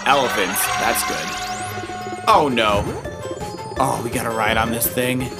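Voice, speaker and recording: A child hosting a Let's Play, giving excitable gameplay commentary and often repeating words. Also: mid-range voice, unhurried. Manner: exclamatory, repeats words and phrases. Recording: loud game music behind the voice. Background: video game music.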